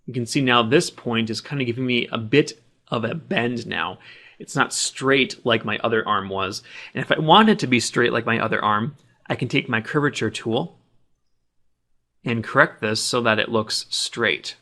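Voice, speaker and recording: A man's voice: medium pitch, normal speed, sharp tone. Man giving step-by-step tutorial narration. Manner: plain, instructional, explaining each action as he does it. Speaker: tutorial instructor. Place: voice-over of a screen recording.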